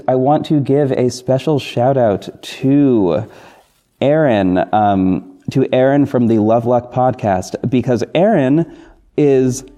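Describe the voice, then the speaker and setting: A man hosting a casual, unscripted podcast update, talking in a rambling, halting and effusive way. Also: medium pitch, mellow. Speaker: podcast host and audio drama creator. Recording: dull muffled sound with music bed. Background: backing music.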